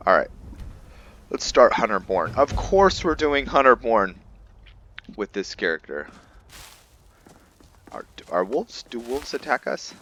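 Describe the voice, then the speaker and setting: A man's voice: mid-range voice, sing-song, slow pace, pauses often, fairly bright tone, a little hiss or hum. A man giving casual gameplay commentary as a let's player, thinking aloud in short bursts.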